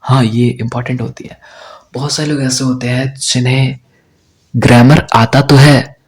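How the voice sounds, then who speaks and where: A young man with an Indian accent teaching spoken English, explaining deliberately in Hindi mixed with English and often checking in with "right?". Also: mid-range voice, fairly even intonation, husky.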